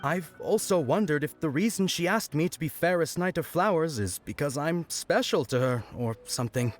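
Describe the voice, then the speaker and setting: A man playing an earnest, bashful young festival worker in a video game, with a hesitant, expressive delivery. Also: fairly high pitch, normal speed, close to an everyday voice. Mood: bashful, devoted. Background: music.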